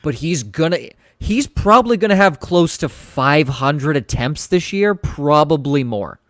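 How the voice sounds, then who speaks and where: A man's voice: on the high side, expressive, no echo. Confident man breaking down football, dry sound. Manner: confident, analytical, rapid-fire. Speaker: co-host. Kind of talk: casual podcast chat.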